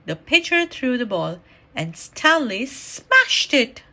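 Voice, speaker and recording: A woman reading a children's story aloud in an animated, deliberate storytelling manner. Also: high voice. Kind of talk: expressive read-aloud storytime narration.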